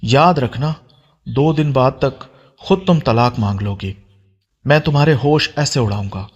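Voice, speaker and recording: Guy reading as angry young fiancé, bossy and threatening, romance audiobook, slightly husky, kinda muffled recording. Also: medium pitch, a little slow. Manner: commanding, threatening. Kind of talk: Urdu romance audio story.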